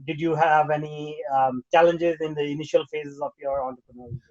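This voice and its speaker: A man's voice: on the high side, steady tone, fast, darkish tone, muffled recording. A man hosting a podcast, reading a scripted introduction and then asking questions with frequent fillers. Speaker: host.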